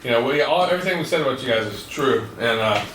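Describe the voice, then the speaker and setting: A man's voice: mid-range voice, expressive, quick pace, music in the background. A man in his forties chatting and teasing casually, swearing freely. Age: 40s. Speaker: podcast host. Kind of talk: casual chat. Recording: echoey room, mic at a distance.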